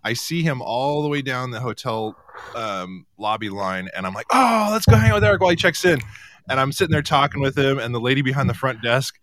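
A man hosting a talk show, chatting casually and telling anecdotes in an animated way. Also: mid-range voice, brisk, dry recording.

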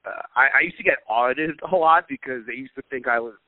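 Male podcast guest, easygoing storyteller, mid pitch, dry phone-line audio. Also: fast. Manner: relaxed storytelling, many fillers, laughs easily. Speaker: podcast guest, marketing coach. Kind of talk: casual interview talk.

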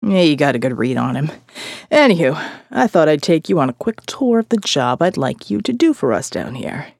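A woman acting as a gruff male survivalist boss from an audio drama, pitched low and swinging freely in tone. He is blunt, profane and world-weary, a cynical leader who lectures hard. The sound is close and dry. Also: very animated, normal speed, darkish tone, dry recording, heavily acted. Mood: sardonic. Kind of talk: dramatic audio fiction monologue.

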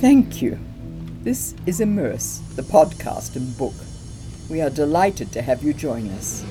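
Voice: mid-range voice, very animated, unhurried, music in the background. An announcer reading a scripted podcast outro.

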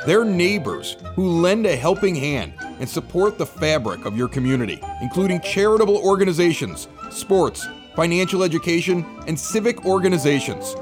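A man hosting a local podcast with an animated radio-announcer delivery. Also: sits high, normal speed, few pauses, over music. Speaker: podcast host. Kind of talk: scripted local news reads and casual bar chat. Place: at a bar.

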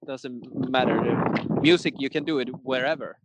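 A man's voice: medium pitch, normal speed, breathy edge, no room sound, rough recording. Rambling man, dry sound. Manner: rambling, many restarts, laughs often. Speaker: metal singer, guest. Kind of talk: casual interview chat.

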